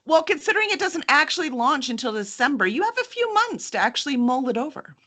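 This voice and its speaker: A woman's voice: high voice, sing-song, quick pace, pushed and bright. A woman hosting an interview in a chatty, enthusiastic style, sometimes reading aloud. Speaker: host and book publisher's representative. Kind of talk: upbeat interview chat with some reading aloud.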